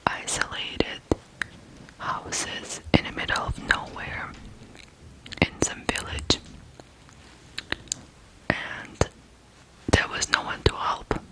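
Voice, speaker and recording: Slow whispering ASMR narrator from Ukraine. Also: poor audio. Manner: hushed, deliberate, pausing between short phrases. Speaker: ASMR true crime host.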